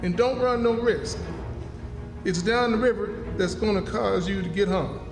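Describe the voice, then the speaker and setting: Guy playing superstitious fortune teller, high pitched folksy voice, kinda chanting, old radio play, echoey hall. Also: unhurried. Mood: prophesying. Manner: incantatory, repetitive, folksy dialect. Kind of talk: live old-time radio drama acting. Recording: echoey hall, live stage performance. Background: music under the voice.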